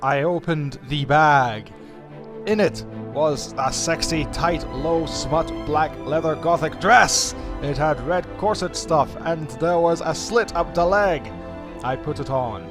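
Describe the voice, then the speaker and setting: A man slowly and deliberately reading a fan fiction excerpt aloud. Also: fairly high pitch, over music.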